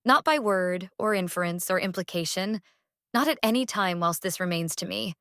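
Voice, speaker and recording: A woman's voice: on the low side, moderate pace, fairly bright tone, clean tone, no echo, studio quality. A woman playing a devout, resolute young wife in an audiobook drama, solemn and intense in her delivery. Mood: solemn, earnest.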